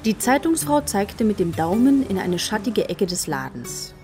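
A woman's voice: medium pitch, big pitch swings, moderate pace, music in the background. A woman narrator reading a scripted children's story for a radio play.

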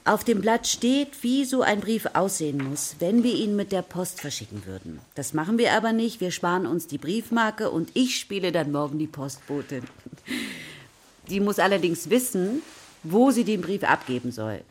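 A woman's voice: fairly low pitch, sing-song, moderate pace, slightly rough. A woman playing a patient, encouraging primary school teacher in a children's radio drama, prompting her pupils with questions. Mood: patient, instructive. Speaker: actor in a children's radio play. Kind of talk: natural radio drama dialogue.

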